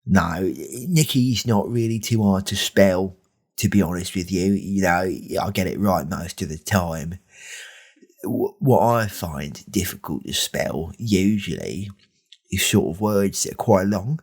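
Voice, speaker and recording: Man playing a dim, slow, creaky podcast co-host. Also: low-pitched, heavily acted. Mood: earnest, puzzled. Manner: rambling, hesitant, deadpan. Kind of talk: parody podcast talk.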